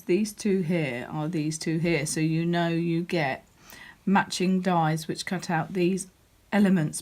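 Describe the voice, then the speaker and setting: A woman with a croaky voice, chatting casually as she walks through a crafting catalogue, with lots of ums and restarts. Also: lowish voice, darkish tone. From United Kingdom. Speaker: crafting demonstrator. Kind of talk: casual product walkthrough.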